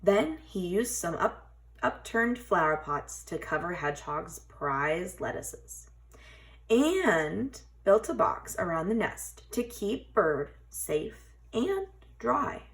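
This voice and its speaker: A woman teacher reading a children's storybook aloud in an expressive, dramatic way. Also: on the low side, slow pace. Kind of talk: scripted children's storybook narration.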